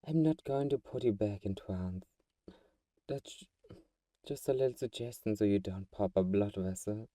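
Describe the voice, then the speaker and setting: A man's voice: lowish voice, a little slow, warm tone, no echo, clean studio sound, recorded from a distance, lightly acted. A man playing a tender, manipulative vampire friend in a hypnotic ASMR roleplay, coaxing and soothing with intimate endearments. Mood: calm, amused.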